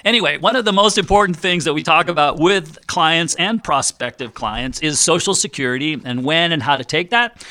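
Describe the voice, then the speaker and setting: A man's voice: on the high side, animated, moderate pace, sharp tone. A middle-aged man hosting a financial advice radio show, talking in an upbeat, chatty salesman style and joking along the way. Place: radio studio. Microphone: studio microphone.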